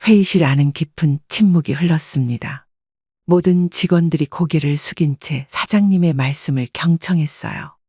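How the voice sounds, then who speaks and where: Husky woman narrating an audiobook. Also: low-pitched, warm tone, no room sound, studio quality. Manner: measured, polite storytelling. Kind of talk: scripted first-person story narration.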